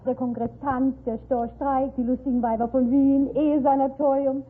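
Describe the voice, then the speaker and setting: A woman with a German accent, a native German speaker, answering as a quiz contestant in halting, broken English. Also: high voice, little pitch movement. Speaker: quiz contestant, stage and film actress. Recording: narrow, noisy vintage radio, studio audience.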